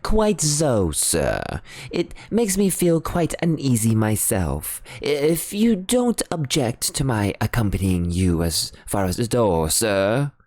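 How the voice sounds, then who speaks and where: A man voicing a deferential, anxious male butler in a high, fluttery, shocked voice for an audiobook reading. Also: sing-song, takes it slowly, a little mellow. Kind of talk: audiobook character voice.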